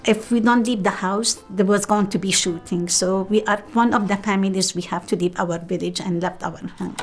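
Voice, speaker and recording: A woman's voice: mid-range voice, steady tone, normal speed, soft background music. A middle-aged woman from Lebanon with a Lebanese accent, Arabic her first language, speaking in an interview in simple, uneven English. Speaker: documentary interviewee.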